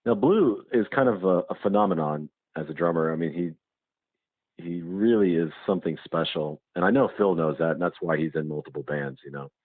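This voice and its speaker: Low-voiced man on a muffled phone line. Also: a little fast, no room sound. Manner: hesitant, rambling questions. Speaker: fan interviewing a musician. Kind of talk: casual phone interview.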